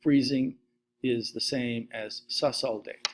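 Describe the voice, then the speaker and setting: Guy teaching science into his webcam, steady listy delivery, middle-aged. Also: medium pitch, takes it slowly. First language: Latvian. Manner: steady list-reading delivery. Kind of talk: recorded slide lesson.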